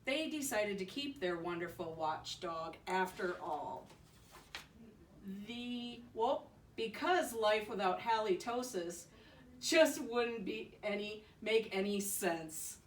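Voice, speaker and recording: Female storybook reader, unhurried and playful, recorded away from the mic with faint noise. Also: medium pitch, roomy sound, recorded from a distance. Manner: giggly, expressive reading with playful asides. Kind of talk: bedtime story read aloud.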